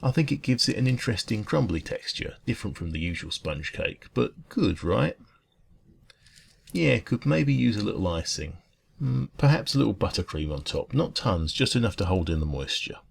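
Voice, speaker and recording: A man playing a friendly, caring dragon who runs a cosy café, talking gently and reassuringly in an ASMR roleplay. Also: on the low side, very animated, moderate pace, vocal fry, slightly rough, heavily acted. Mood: cheerful, chatty.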